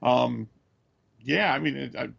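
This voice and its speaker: An American man co-hosting a podcast over a dull remote connection, chatting casually and analytically, halting as he thinks aloud. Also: medium pitch, creaky, fairly bright tone, breathy edge. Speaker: podcast co-host. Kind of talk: casual chat, measured analysis.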